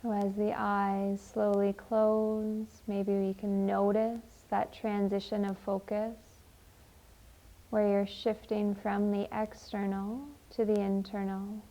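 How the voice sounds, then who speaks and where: A woman leading a guided meditation in a gentle, measured, deliberate way. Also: mid-range voice, completely flat delivery. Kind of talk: slow, soothing guided meditation and poem reading.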